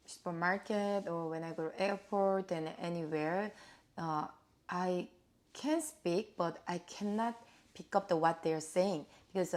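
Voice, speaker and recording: A Korean woman in her forties with a Korean accent and Korean as her first language, answering interview questions at home in halting phrases with fillers. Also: on the low side, darkish tone. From South Korea. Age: 40s. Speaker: guest.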